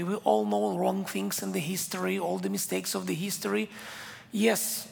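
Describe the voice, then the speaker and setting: Israeli man, non-native English, preaching with heavy vocal fry and booming repetition; church hall with long reverb. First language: not English; English is his third language. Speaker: guest preacher. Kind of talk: sermon. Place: church sanctuary.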